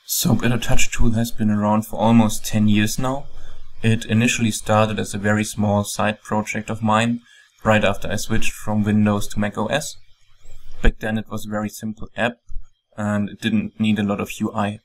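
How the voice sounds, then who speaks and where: A man with a German accent, a software developer, calmly walking through a program on a screen recording with frequent ums. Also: on the low side, moderate pace. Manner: calm, plain explaining with frequent ums.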